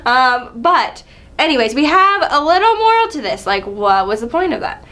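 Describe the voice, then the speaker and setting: A woman's voice: high-pitched, big pitch swings, moderate pace, a bit bright. A young adult woman giving a casual, informal student presentation.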